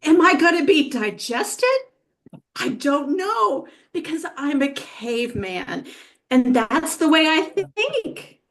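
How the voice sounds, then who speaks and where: A woman's voice: sits high, very animated, takes it slowly, heavily acted. A woman playing a thawed caveman lawyer in a comedy table read, solemnly addressing a jury with naive, mock-humble wonder. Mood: humble, bewildered.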